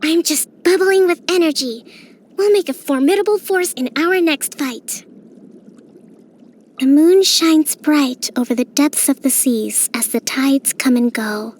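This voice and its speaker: An actress voicing a young female island priestess and military strategist in a game, speaking in a gentle, composed, softly playful way. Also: high voice, slight background noise. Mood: resolute. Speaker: game character. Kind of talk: game voice lines.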